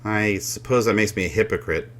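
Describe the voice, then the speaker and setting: Game role: conflicted man with a hard past, lowish, forceful edge, measured and candid. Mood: reflective, frank. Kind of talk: video game character dialogue.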